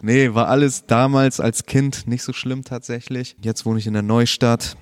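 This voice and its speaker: A man's voice: mid-range voice, moderate pace, no room sound, studio quality. A man, chatting casually as a podcast guest with slang and fillers. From Bremen, Germany. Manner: relaxed, laid-back, peppered with slang and fillers. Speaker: podcast guest, martial arts trainer. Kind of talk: casual chat.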